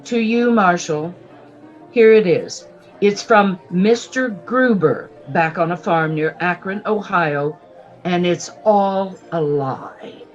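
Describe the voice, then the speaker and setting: A woman's voice: lowish voice, slow pace, muffled recording, faint music behind. An actress playing a naive, polite young shopgirl in her twenties, earnest and plaintive, in a radio western. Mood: meek, earnest. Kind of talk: old-time radio western acting.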